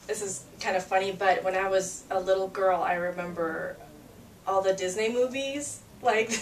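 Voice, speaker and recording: A young woman from Peru, a singer-songwriter, chatting in an interview with frequent fillers and laughter. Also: medium pitch. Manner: chatty, laughs often, many fillers and restarts. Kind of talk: casual interview chat. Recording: roomy, distant mic, faint ambience.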